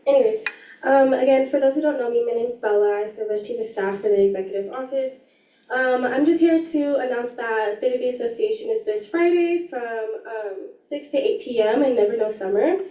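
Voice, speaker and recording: A young woman speaks with a slightly raspy voice, explaining an event earnestly and filling pauses with ums. Her tone is mellow and a bit high. She sounds distant from the mic in an echoey room. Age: young adult. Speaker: student government chief of staff. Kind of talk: meeting announcement.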